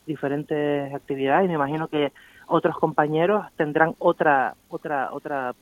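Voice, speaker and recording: A man's voice: fairly high pitch, fairly even intonation, moderate pace, no echo. Man on a dull phone line. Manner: thoughtful, with frequent tag questions. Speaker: guest graffiti artist. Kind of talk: radio interview by phone.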